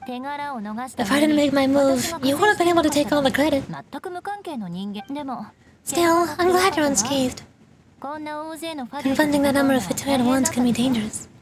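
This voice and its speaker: A woman's voice: high-pitched, moderate pace, pauses often, crowd noise, close to an everyday voice. A woman voicing a haughty, vengeful young noblewoman knight from a fantasy game, formal and indignant, over a noisy background.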